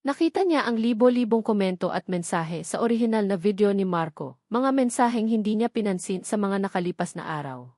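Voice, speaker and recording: A woman with a Filipino accent narrates a scripted romantic drama. Her voice sits at a medium pitch for a woman, and the sound is dry, with hardly any room in it. She delivers it as storytelling, in full written sentences. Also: expressive, brisk, mellow, no echo.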